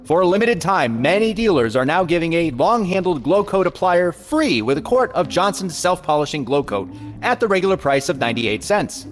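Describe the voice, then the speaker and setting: A man plays a polished 1940s radio pitchman. His voice is high and his selling is emphatic and upbeat. Faint music plays behind. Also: big pitch swings, brisk. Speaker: announcer. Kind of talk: 1940s radio advertising read.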